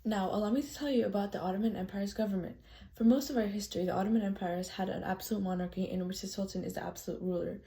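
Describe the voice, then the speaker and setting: A young woman giving a scripted history presentation in character as a tour guide, polite and a little halting. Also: medium pitch, fairly even intonation, gravelly, warm tone. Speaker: student presenter playing a loyal Janissary tour guide.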